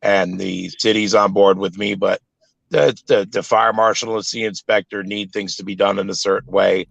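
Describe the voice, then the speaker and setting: A man in his fifties with a deep voice, a guest talking earnestly about his restoration project, with many restarts. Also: little pitch movement, normal speed, gravelly, fairly bright tone, no echo, dull audio. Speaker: guest, owner of a paranormal site.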